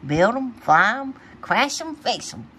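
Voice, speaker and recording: Storybook big-brother bear read by a woman: kindly, proud, earnest, lively intonation. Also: on the high side, unhurried, slight background noise, heavily acted. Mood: reassuring.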